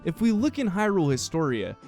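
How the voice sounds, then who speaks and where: A man's voice: high voice, very animated, quick pace, darkish tone. A man narrating a scripted, analytical video game theory explainer. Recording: music playing behind the voice. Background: background music.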